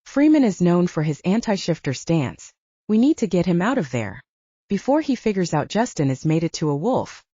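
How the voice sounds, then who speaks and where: A woman's voice: fairly low pitch, sing-song, moderate pace, warm tone, slightly rough. A woman voicing a man who reports and warns as a matter-of-fact investigator ally in an audiobook. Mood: warning. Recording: roomy, dull lo-fi audio.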